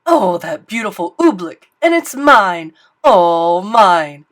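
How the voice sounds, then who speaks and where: A woman doing a loud, gleeful, bossy king. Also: mid-range voice, very animated, slow. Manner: loud, boastful, commanding. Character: excitable greedy bossy male king.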